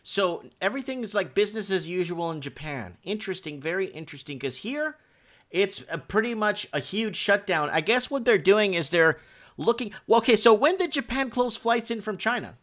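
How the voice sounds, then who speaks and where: Halting, higher-voiced man hosting a live stream. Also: lively intonation, fairly bright tone. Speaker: live stream host taking guest calls. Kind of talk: casual call-in talk.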